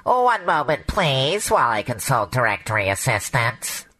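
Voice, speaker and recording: Radio play role: officious switchboard operator, low, sharp voice, formal and clipped. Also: big pitch swings, moderate pace, husky, dry recording, exaggerated voice. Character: brisk, formal 1950s telephone operator. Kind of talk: old-time radio drama acting.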